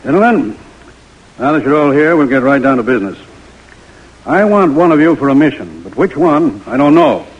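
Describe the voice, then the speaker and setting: Husky, commanding American army major. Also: male voice, medium pitch, very animated, moderate pace. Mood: brisk, commanding. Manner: authoritative, businesslike. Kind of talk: old-time radio drama acting. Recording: dull, lo-fi vintage radio recording.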